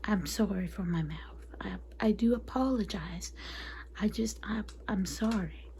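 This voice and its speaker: Whispering, mellow, catty vampire consultant played by a woman. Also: mid-range voice, measured pace, slight background noise. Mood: flustered, indignant. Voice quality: soft, breathy whisper. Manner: confiding, gossipy, apologetic asides. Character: catty, chatty female vampire transformation consultant. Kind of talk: soft-spoken ASMR roleplay.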